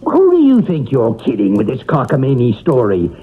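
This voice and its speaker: Sarcastic, blustery radio-drama grouch, swooping pitch. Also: male voice, wildly swooping pitch, normal speed, slightly rough. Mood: indignant, mocking. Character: cantankerous, sarcastic sick man refusing to die. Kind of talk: classic radio drama acting. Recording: dull, lo-fi old radio recording.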